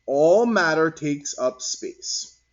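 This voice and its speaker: A man's voice: on the high side, animated, takes it slowly. A man voicing an encouraging male science teacher in a storybook, speaking emphatically and instructively. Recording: dull, lo-fi recording.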